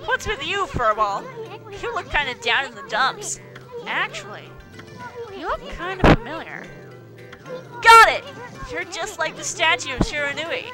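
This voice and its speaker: A woman voicing a tiny, brash male bug-like wandering artist from a video game, boastful, excitable and teasing. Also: extremely high pitch, unhurried, long pauses, over music, voice pushed up, exaggerated voice. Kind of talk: video game cutscene voice-over, read aloud.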